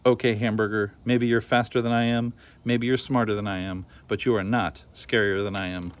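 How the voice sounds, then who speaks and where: A man's voice: fairly low pitch, fairly even intonation, moderate pace, natural voice. A man voicing a boastful, taunting girl ghost in a children's storybook, with a challenging, exclamatory delivery. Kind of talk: children's storybook read-aloud.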